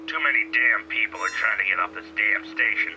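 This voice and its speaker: A man playing a gruff, irritable pirate crewman who swears while giving orders. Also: on the low side, fairly quick, very noisy, close to an everyday voice. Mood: irritated.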